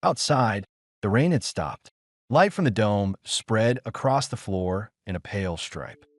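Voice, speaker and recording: Deep male audiobook narrator, expressive pitch. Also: unhurried, no echo, studio quality. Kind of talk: scripted story narration.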